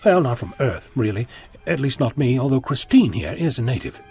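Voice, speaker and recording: An actor playing an eccentric, polite alien time traveller in an audio drama, babbling eagerly and insistently. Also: male voice, mid-range voice, sing-song, fairly quick, warm tone, breathy edge, soft background music, exaggerated voice. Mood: excited, cheerful.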